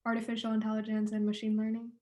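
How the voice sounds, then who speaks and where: A woman's voice: on the high side, monotone, very fast, dark tone. Young woman intern asking questions.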